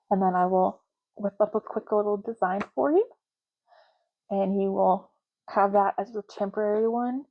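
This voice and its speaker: A soft-spoken woman doing an ASMR roleplay as a clerk, talking casually and matter-of-factly in unhurried short phrases with pauses. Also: mid-range voice, muffled recording. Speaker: ASMR roleplay host, playing an intake clerk making ID cards.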